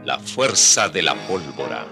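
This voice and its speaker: A man's voice: very deep voice, sing-song, measured pace, gravelly, heavily acted. A man as a solemn radio drama announcer, delivering titles dramatically. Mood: solemn, dramatic. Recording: loud music behind.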